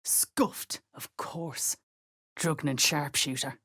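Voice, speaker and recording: A woman's voice: deep voice, fairly even intonation, very slow, a bit bright, slightly rough, full character voice. A woman voicing a cruel, volatile cultist henchwoman in a video game, sneering and taunting. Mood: bitter, vicious. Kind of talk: video game character acting.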